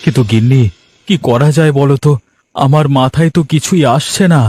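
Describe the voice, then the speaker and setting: A man's voice: a little high, a little fast, darkish tone, natural voice. A man playing a humble, troubled village fish trader in a Bengali cartoon story, speaking in an earnest, plaintive way.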